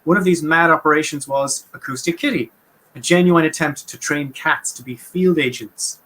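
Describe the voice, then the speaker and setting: A man with an Irish Munster accent, talking through a topic on a casual podcast, quick and wry. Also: a little high, fairly bright tone. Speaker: podcast host. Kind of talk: casual explanatory chat.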